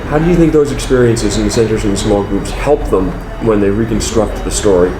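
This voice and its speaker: A man's voice: fairly low pitch, fairly quick. A man asking interview questions in a casual, somewhat hesitant and tentative way. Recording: echoey room, clear background hum.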